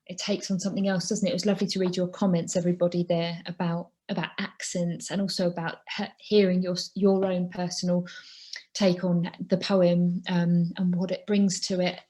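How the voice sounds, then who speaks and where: A woman's voice: on the low side, little pitch movement, fairly quick, mellow. A woman hosting an online conversation with a fellow poet over a video call, effusive and rambling in her questions. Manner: effusive, rambling, with fillers and restarts. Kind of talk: conversational interview.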